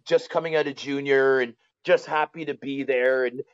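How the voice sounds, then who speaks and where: A man in his forties with a Canadian accent, telling stories casually as a podcast guest, colloquial and animated, often checking that the listener follows. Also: mid-range voice, normal speed, clean tone, dry recording, lo-fi sound. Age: 40s. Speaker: former pro hockey player, interview guest.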